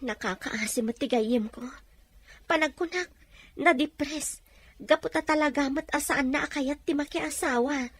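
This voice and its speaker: A woman's voice: high voice, moderate pace, natural voice. A woman playing a concerned, loyal young friend, earnest and polite, with an Ilocano accent in an audio drama. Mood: worried.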